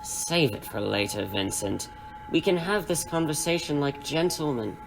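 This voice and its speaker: A man playing a menacing crime boss in an audio drama, with a mockingly polite, formal, unhurried manner. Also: on the high side, expressive, creaky, background noise. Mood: coolly bargaining. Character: suave, menacing male crime boss interrogating captives.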